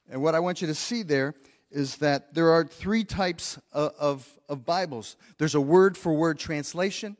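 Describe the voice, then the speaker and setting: Male pastor, slightly high voice, earnest teaching tone, stumbles and repeats words, long hall reverb. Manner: earnest, repeats words while thinking, asks the congregation questions. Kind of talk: practical sermon teaching. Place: church sanctuary.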